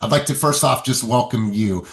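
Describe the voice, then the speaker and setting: A man's voice: medium pitch, normal speed. A man hosting a group coaching session on a video call, upbeat and hyping up his audience with repeated phrases. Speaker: sales coach and session host.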